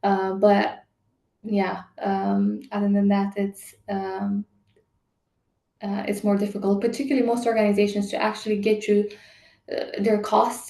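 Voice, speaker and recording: A woman's voice: medium pitch, gravelly, dark tone, echoey room. A woman working as an HR and diversity leader answers a panel question hesitantly, with many fillers.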